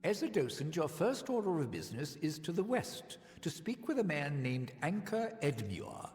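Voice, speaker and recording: A man's voice: on the high side, big pitch swings, moderate pace, a little mellow. A man voicing a haughty senior scholar of magic in a fantasy game, pompous and condescending, with dry, precise wording. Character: haughty male senior scholar of an arcane order. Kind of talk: scripted game dialogue. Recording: long hall reverb, background music.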